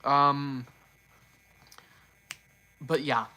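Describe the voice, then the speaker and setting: Guy rambling on his live stream, bright voice, keeps restarting and repeating words. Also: mid-range voice, steady tone, drawn-out words, rough recording. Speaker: livestream host. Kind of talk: casual livestream chat.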